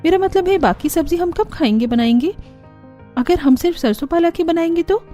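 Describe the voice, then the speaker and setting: Woman voicing a naive high-pitched young bride. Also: lively intonation, fairly quick, warm tone, soft background music. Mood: shocked, questioning. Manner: exclaiming, questioning. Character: naive young newlywed daughter-in-law, easily astonished.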